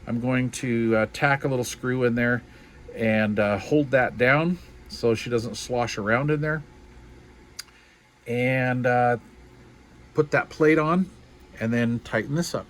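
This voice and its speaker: A man's voice: mid-range voice, slow pace, pauses often, fairly bright tone. A man giving a casual, unscripted hobbyist show-and-tell in a folksy, colloquial, self-deprecating way. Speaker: hobbyist showing a DIY radio build.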